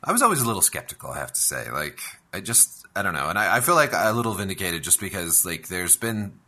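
A man with a deep voice that still has a bright, forward edge. He chats casually as a podcast co-host, musing and feeling his way through each thought. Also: big pitch swings, fast. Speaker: podcast co-host discussing video games. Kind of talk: casual chat.

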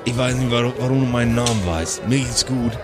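A man's voice: medium pitch, fairly quick, loud music behind the voice, close to an everyday voice. A man voicing a surly, worn-out barman of about fifty, blunt and grudging, in an improvised audio play. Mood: weary, gruff.

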